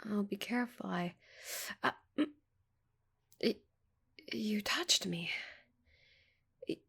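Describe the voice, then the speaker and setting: A woman voicing a haughty, flustered giant female alien in an ASMR roleplay, breathy and whispery, with a prim, halting delivery. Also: mid-range voice, fairly bright tone, heavily acted. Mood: flustered, scandalized.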